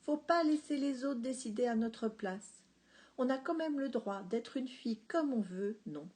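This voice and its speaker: A woman's voice: on the high side, expressive, unhurried, darkish tone, voice pushed up. A woman reading a storybook, voicing a young girl who coaxes her father affectionately. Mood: coaxing. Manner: affectionate, wheedling. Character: young girl, sweet and coaxing with her dad.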